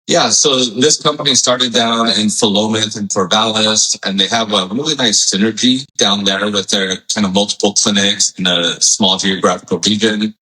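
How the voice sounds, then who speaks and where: Deep-voiced, bright-toned man in easy interview talk. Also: steady tone, moderate pace, hardly any pauses, low-quality recording. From Hawaii. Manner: friendly, easygoing, with fillers. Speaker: physical therapist guest. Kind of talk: casual interview.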